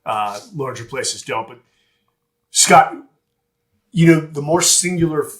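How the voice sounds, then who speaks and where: A man's voice: a little high, animated, measured pace, a bit bright. A man hosting a podcast interview in a laid-back, casual way, dropping words like "dude" and "man". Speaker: podcast host.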